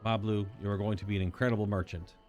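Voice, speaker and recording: A man reading a spirited young catlike princess from a game, raising his voice higher and sounding eager. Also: little pitch movement, very fast, a little mellow, soft background music, lightly acted.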